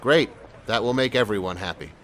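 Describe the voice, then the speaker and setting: An actor playing an earnest, smooth-talking police sergeant who tells his story in an audio drama. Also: lowish voice, a little fast, fairly bright tone, slight background noise, lightly acted. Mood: coaxing. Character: persuasive, good-hearted male police sergeant and storyteller. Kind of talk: audio drama acting and first-person narration.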